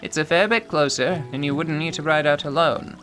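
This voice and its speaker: A woman's voice: sits low, a little fast, over music, voice pushed down, natural voice. A woman doing a flirty ancient farmer. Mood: advising. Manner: drawling, lecherous.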